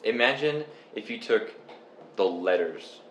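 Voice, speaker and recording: A young man from Canada chatting casually and explaining things step by step in a relaxed, rambling way. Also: on the low side, unhurried. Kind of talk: casual chat. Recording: echoey room with faint noise.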